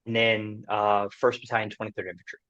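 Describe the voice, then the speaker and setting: A man's voice: on the low side, little pitch movement, brisk, fairly bright tone. A man in his forties, a veteran guest on a podcast, speaking casually and emphatically with frequent "you know"s. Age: 40s. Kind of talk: casual interview conversation. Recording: dull, muffled lo-fi audio.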